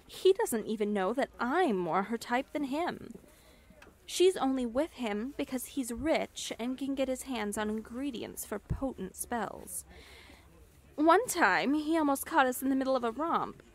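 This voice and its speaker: A woman playing a high-voiced, unhurried confident young witch. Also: lively intonation. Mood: warm, playful. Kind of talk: ASMR roleplay acting.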